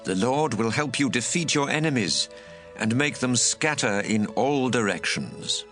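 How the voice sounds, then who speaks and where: Guy playing solemn preacher reading out blessings, bible drama, mid pitch, soft music underneath. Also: animated, unhurried. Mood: assured, solemn. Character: solemn male preacher pronouncing blessings and promises. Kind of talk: dramatized scripture recitation.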